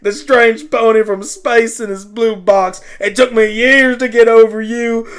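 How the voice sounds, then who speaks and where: A man voicing a stern, hurt adult mare, a protective big sister with a forceful scolding manner, in an amateur radio play. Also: very high voice, flat delivery, measured pace, smooth. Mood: furious, anguished.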